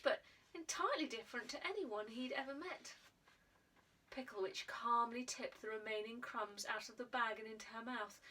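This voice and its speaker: A woman reading a children's story in a bright, breathy voice set a little higher than most. Her delivery is playful and expressive, slipping into soft near-whispers. The mic is a bit distant from her. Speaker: author reading her own book.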